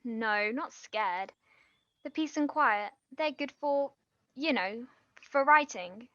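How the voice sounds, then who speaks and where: High-voiced, flustered young writer. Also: female voice, expressive, a bit bright, no room sound, dull audio. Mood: rambling, awkward. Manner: flustered, halting, rambling. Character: nervous, eager female aspiring writer hiding her past. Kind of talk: naturalistic stage play acting.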